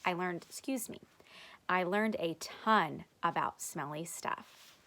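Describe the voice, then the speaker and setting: A woman's voice: on the low side, slow, fairly bright tone. A woman voicing a boastful, eager young boy in a storybook read aloud. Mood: excited. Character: boastful excitable young boy proud of his nose.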